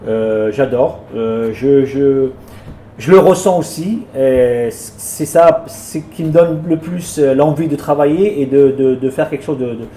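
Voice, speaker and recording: A man's voice: medium pitch, unhurried, dark tone, reverberant, slight background noise. A man who runs a small restaurant, answering interview questions in a plain, hesitant way and often repeating words as he talks. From France. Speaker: restaurant owner.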